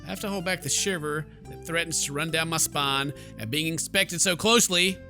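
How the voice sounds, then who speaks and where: A man's voice: on the high side, fairly quick, over music. A man hosting a gaming video, jokey and exclamatory, mixing casual commentary and muttered asides with reading text aloud.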